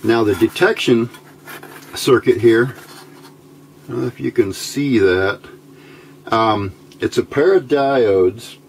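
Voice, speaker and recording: A man giving an informal hands-on electronics tutorial, thinking aloud in a halting, unscripted way at an indoor workbench. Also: medium pitch. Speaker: electronics hobbyist demonstrating a homebuilt circuit. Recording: amateur recording with clear background noise.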